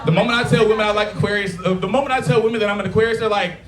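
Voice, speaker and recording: High-voiced stand-up comic in an echoey bar. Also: male voice, fairly even intonation, racing. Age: 30s. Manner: crude, conversational, asks the crowd for agreement. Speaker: stand-up comedian. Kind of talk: stand-up comedy set. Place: taproom stage before a live crowd. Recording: echoey bar room with crowd. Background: audience laughter.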